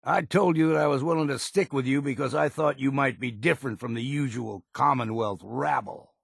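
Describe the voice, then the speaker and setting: Game companion role: weathered older island guide, heavy fry and rasp, blunt folksy drawl, wary and gruff. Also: male voice, mid-range voice, a little fast, no echo, clean studio sound. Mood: blunt, grudging. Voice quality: gravelly, raspy. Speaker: companion character in a video game. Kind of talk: video game voice lines.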